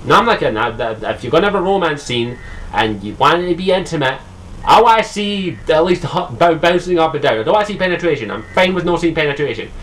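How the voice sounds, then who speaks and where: A man's voice: fairly high pitch, lively intonation, quick pace, fairly bright tone, rough recording. A young man from Scotland with a Scottish accent, talking casually and profanely about a game, full of ums and restarts. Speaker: gaming vlogger. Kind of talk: casual solo commentary.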